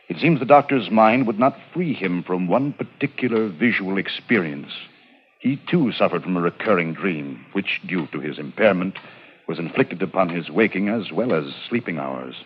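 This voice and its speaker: A solemn, considerate prison warden in a deep voice. His speech is formal and measured. The sound is a dull 1940s radio drama recording. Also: lively intonation, slightly rough. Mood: grave musing. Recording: muffled vintage radio recording.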